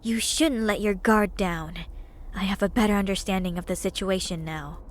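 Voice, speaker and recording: An actress playing a determined, devoted young nun on the run in a dramatic visual novel, earnest and resolute, with music underneath. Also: female voice, mid-range voice, steady tone, normal speed, slight background noise, natural voice. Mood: guarded, cool.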